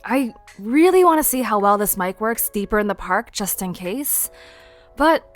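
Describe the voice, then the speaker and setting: A woman's voice: mid-range voice, animated, moderate pace, faint music behind, natural voice. A woman playing a practical, mildly exasperated young woman in an audio drama, casual and matter-of-fact. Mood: businesslike, exasperated.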